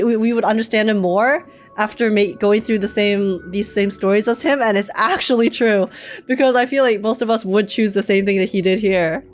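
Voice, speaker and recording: Higher-voiced woman reacting to a game. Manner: animated thinking aloud, slangy. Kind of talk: casual live game reaction commentary.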